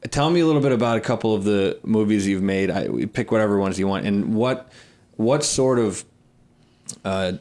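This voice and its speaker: Low-voiced man hosting, thoughtful and halting. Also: a little mellow. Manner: thoughtful, halting, groping for words. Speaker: podcast host. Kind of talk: conversational interviewing.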